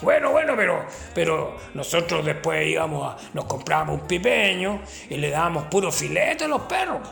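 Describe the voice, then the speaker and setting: A man's voice: fairly high pitch, sing-song, normal speed, creaky, fairly bright tone, faint music behind, exaggerated voice. An actor playing a rascally old Chilean working man and former gravedigger, tipsy, with a Chilean accent, telling folksy slangy boasts. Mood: boastful. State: tipsy from drinking. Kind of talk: comic character interview.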